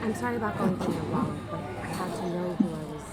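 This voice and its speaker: Lowish-voiced, patient young woman actress. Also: fast talker, heavy background noise, natural voice. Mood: patient, wry. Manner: reassuring, matter-of-fact, lightly wry. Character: helpful, patient, plain-spoken young female aspiring actor. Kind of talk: naturalistic audio drama dialogue.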